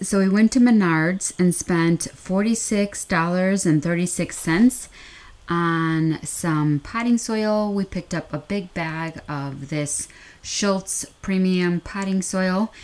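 A woman's voice: on the low side, darkish tone. An American woman narrating a casual home vlog in a conversational way, often repeating and rephrasing herself. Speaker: vlogger. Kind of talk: casual haul vlog narration over close-ups. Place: indoors at home.

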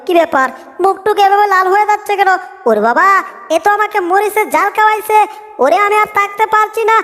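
A woman's voice: very high-pitched, fast, a bit bright. A woman voicing a small, cheeky cartoon mouse with a chirpy, exclaiming manner. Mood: panicked. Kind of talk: Bengali cartoon dub comedy. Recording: long hall-like reverb.

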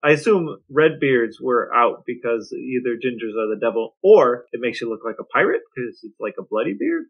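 A man's voice: mid-range voice, big pitch swings, moderate pace, few pauses, muffled recording. Podcast cohost guy, bit far from the mic, asks mock formal questions then chats. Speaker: podcast co-host and interviewer. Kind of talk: casual chat with mock-formal scripted questions.

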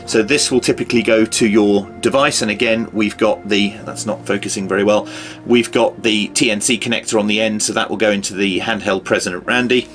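Low-voiced British man explaining gear. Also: steady tone, brisk, fairly bright tone, soft background music. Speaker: presenter of a radio how-to video. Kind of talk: informal instructional demonstration. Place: small home office or workshop room.

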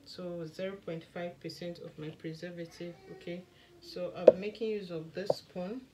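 A woman talks through a hands-on demonstration at her worktable, her voice kept soft and low for the late hour. Her pitch sits a little low. Steady background noise and small clicks are heard under her speech. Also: moderate pace. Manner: soft, lowered late-night voice, many "okay, so" fillers. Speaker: skincare tutorial host. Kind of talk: casual step-by-step demonstration. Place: indoor work table.

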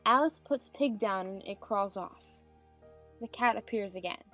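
A woman narrates a storybook play in scripted style. The voice is medium in pitch, with soft music underneath. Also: measured pace, rough recording. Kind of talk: scripted narration of stage directions.

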